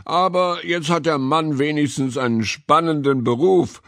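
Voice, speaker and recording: A man's voice: a little high, measured pace, fairly bright tone, no echo, clean studio sound, pitched above the performer's own voice. A man voicing an amused, self-satisfied cat with a drawl in a children's storybook. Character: amused cat listener with a fine humour.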